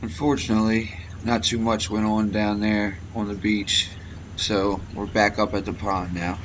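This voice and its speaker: A low-voiced man talks his viewers through his fishing from a kayak on a pond, relaxed and matter-of-fact. He is recorded outdoors on an action camera with a faint wash of open-air noise behind him. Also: measured pace. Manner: relaxed play-by-play, talking to viewers. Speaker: fishing vlogger. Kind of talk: casual fishing commentary.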